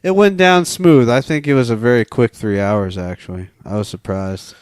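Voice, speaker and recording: A man's voice: mid-range voice, normal speed, darkish tone, dry recording, clean studio sound. A man co-hosting a film podcast, chatting casually with swearing in a blunt, sarcastic way. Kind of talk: casual, profane film discussion.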